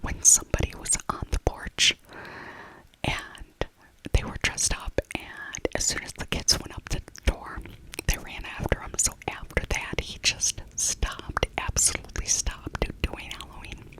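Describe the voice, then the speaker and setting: A middle-aged woman whispering softly and breathily in a casual, rambling ASMR voiceover, chatty and often repeating phrases for emphasis. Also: unhurried, poor audio. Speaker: ASMR video creator.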